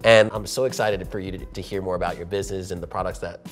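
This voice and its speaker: A man's voice: low-pitched, fairly even intonation, fast talker, soft background music. A man in his thirties hosting a business interview, enthusiastic and affirming, in an office. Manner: enthusiastic, affirming with frequent yeahs. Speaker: interview host. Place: open-plan office, indoors.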